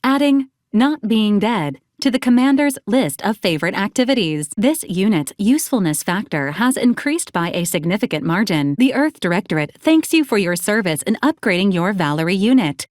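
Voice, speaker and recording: A woman's voice: mid-range voice, sing-song, moderate pace, hardly any pauses, dry recording, clean studio sound, close to an everyday voice. A woman playing a polite, literal robot assistant unit in a video game, speaking formally and precisely with clinical detachment. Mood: formal, detached. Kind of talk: scripted video game character lines.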